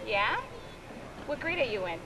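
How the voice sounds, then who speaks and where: A woman's voice: on the high side, sing-song, a little slow. A young woman interviewing people at an outdoor stadium with a handheld mic, friendly and giggly. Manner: friendly, giggly, encouraging questions. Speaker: company video interviewer. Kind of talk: casual on-camera interviews. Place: outdoor baseball stadium and dugout. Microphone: handheld mic on camcorder audio. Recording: distant camcorder audio with noise.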